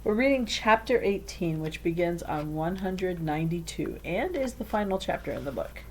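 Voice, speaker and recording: A woman reading a children's storybook aloud in an expressive way. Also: lowish voice, normal speed, slight background noise, low-quality recording. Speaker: read-aloud reader.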